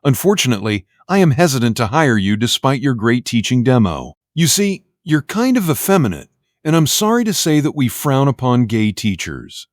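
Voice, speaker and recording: A man acting a condescending university interviewer, swooping pitch. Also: big pitch swings, no echo, studio quality, lightly acted. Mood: condescending, frank. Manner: formal, condescending, deliberate. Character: pompous, prejudiced middle-aged male university job interviewer. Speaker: university official interviewing a job applicant. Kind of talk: audio play acting.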